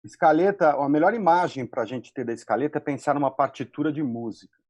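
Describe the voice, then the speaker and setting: A man with a Brazilian accent, a guest writer on a panel, explaining a term conversationally and deliberately, repeating phrases as he goes. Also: a little high, a little mellow, no room sound, dull audio.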